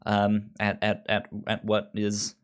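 A man with a lowish voice hosts a relaxed podcast chat. He talks easily, packing in fillers and often catching on the first sound of a word, repeating it several times before it comes out. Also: slow, clean tone. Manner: frequent stutters and sound repetitions. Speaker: podcast host.

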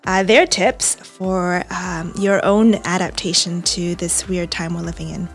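A woman's voice: fairly low pitch, fairly even intonation, unhurried, faint music behind. A woman co-hosting a podcast in a friendly, enthusiastic way, with an occasional "uh" or "um". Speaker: podcast co-host. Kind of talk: casual podcast chat.

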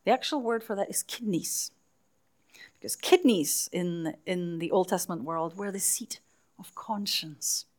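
A woman minister leading a church service, speaking softly and unhurriedly, with frequent hesitations, sometimes dropping to a near whisper. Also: on the low side. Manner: soft, unhurried, hesitant with fillers. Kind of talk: leading worship and interviewing a church member.

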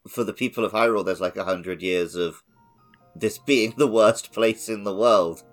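A man's voice: fairly low pitch, normal speed, soft background music. A man co-hosting a podcast, talking casually about video games in a conversational way. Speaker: podcast co-host. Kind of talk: casual video game discussion.

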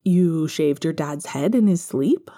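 A woman's voice: low voice, expressive, measured pace, dark tone. A woman voicing a wry, affectionate man in his late thirties, a teasing godfather in a story. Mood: fond teasing. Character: wry, affectionate 39-year-old bachelor godfather.

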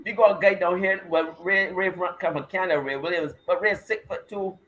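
A man hosts a loose talk show, trading jokes in broad dialect. His voice sits a bit high. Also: steady tone, a little fast, a bit bright. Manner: joking, dialect-heavy banter. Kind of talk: casual chat.

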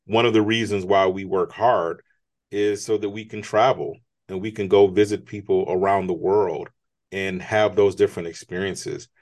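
A man with a fairly low voice talks in an earnest, motivational way. He leans into his points with rising emphasis as he shares his views in a relaxed interview. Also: normal speed. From United States. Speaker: guest, business strategist. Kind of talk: conversational interview.

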